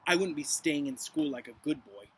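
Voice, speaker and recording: A man's voice: on the high side, lively intonation, moderate pace, slight background noise, natural voice, rough recording. A man voicing a sly, malicious schoolboy bully with a taunting, sneering manner in a storybook reading. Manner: taunting, quiet menace.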